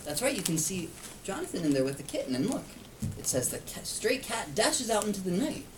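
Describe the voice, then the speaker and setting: Animated man reading to a child, distant mic. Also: high-pitched, a little fast. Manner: explains and asks simple questions for a child. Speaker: read-aloud storyteller to a young child. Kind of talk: interactive picture-book reading. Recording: distant mic, clear background noise.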